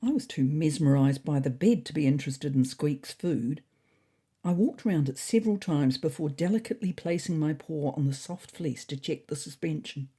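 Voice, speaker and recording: Woman as fussy, mellow-voiced cat diarist. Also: deep voice, animated, normal speed, slightly rough. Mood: furtive, contented. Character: Weasley, fussy anxious male cat diarist. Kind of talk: comic first-person diary narration.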